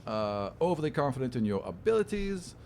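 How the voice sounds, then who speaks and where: A man's voice: mid-range voice, sing-song, fairly quick, gravelly, darkish tone, a little hiss or hum, pitched above the performer's own voice. A man playing a fussy, watchful inquisitor in a tabletop game, speaking in a hesitant, officious way. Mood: officious, wary. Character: fussy bureaucratic male inquisitor, watchful, matter-of-fact.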